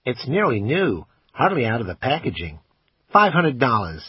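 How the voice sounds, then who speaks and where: A man's voice: medium pitch, huge pitch swings, normal speed, breathy edge, dry recording, voice pushed up, full character voice. A man voicing an eager, haggling male pawn shop salesman with a pushy sales patter. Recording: dull, slightly lo-fi.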